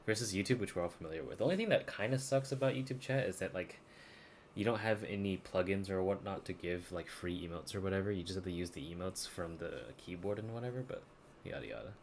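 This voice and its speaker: Low-voiced man chatting on a game stream. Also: animated, fast talker, vocal fry, a little hiss or hum. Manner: slangy, informal. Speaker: live streamer. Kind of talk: casual gaming stream chat.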